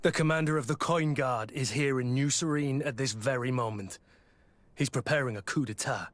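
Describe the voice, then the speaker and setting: A male actor voices a hardened mercenary in a fantasy video game. His voice is medium in pitch, with stern, clipped delivery. He sounds blunt and soldierly, a man of honour. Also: normal speed, no room sound, close to an everyday voice. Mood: grim menace. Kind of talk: dramatic video game acting.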